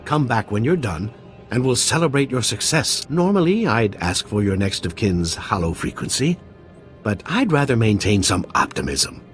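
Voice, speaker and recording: An actor playing an eccentric, courteous scientist in a video game, formal, precise and theatrical with a dry wit. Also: male voice, on the low side, big pitch swings, moderate pace, soft background music. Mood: dry, wry.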